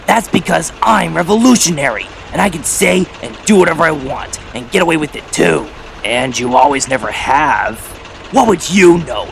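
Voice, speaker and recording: A man's voice: fairly high pitch, animated, moderate pace, gravelly, slight background noise. A man voicing a devious, cheeky male diesel engine in a children's story, sly and cowardly. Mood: smug, insulting. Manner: sarcastic when confident, whimpering when scared.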